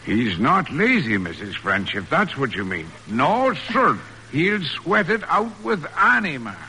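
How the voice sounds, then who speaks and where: An actor playing a good-natured farmhand in a rustic, colloquial 1940s radio drama style. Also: male voice, on the high side, sing-song, measured pace, bright, forceful tone, heavily acted. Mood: earnest admiration. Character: good-natured folksy male farmhand, loyal and plainspoken. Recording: noisy old radio broadcast recording.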